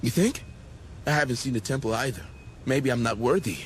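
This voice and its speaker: A yearning, doubtful male cultist from a sci-fi game, acted by a man. He sounds earnest and unsure, with a lively, moving pitch. There is faint noise behind him. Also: a little fast, close to an everyday voice. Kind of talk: video game dialogue.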